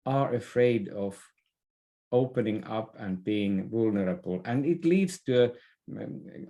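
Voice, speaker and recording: Slow-talking, mellow man. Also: mid-range voice. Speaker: podcast guest, business expert. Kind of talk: conversational interview, explaining ideas.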